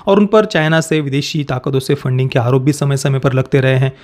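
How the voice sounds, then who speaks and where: A man's voice: mid-range voice, steady tone, fairly quick, darkish tone. A man in his thirties with an Indian accent gives opinionated news commentary to camera, emphatically repeating and rephrasing his points. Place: small indoor home studio. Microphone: close desk microphone.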